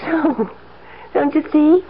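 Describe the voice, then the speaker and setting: A woman's voice: sits high, slow pace. An actress playing a doting, naive rich wife in a 1970s radio drama, girlishly sweet, cooing and earnestly coaxing. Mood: earnest, insistent. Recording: dull, hissy 1970s radio broadcast.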